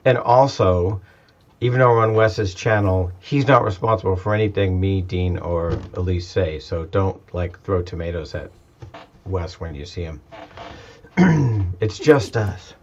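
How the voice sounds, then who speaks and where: Deep-voiced man with a Boston accent. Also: unhurried, highs cut off, a little hiss or hum. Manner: jokey, self-deprecating. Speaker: discussion leader. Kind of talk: casual chat.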